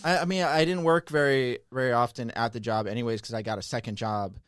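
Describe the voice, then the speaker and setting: A man's voice: mid-range voice, fairly even intonation, fast talker, a bit bright, clear, resonant tone, dry recording, clean studio sound. A man talking as a guest on a podcast, rambling in a laid-back way and laughing often. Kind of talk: casual podcast chat.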